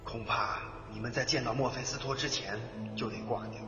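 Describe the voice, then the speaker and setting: A man playing a knowing, grave male adviser who gives measured warnings. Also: medium pitch, lightly acted. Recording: echoey and dull, loud music.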